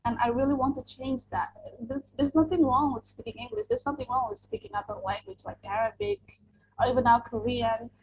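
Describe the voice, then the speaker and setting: A woman's voice: fairly high pitch, expressive, brisk, lo-fi sound, a little hiss or hum. A young adult woman from Indonesia, an English teacher whose first language is Indonesian, answering interview questions earnestly with many fillers and restarts.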